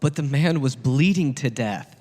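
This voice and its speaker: A long reverb surrounds a man acting as a patient male holy teacher in a satirical audio drama. He speaks at a middle pitch, solemn and deliberate, telling his parables earnestly. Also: lively intonation, warm tone, close to an everyday voice. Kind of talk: satirical dramatic reading, measured storytelling. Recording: long reverberant hall echo.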